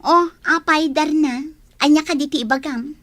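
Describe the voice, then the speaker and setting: An actress playing a vain, sharp-tongued young village woman in a comedy radio play. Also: high-pitched, normal speed. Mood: dreamy.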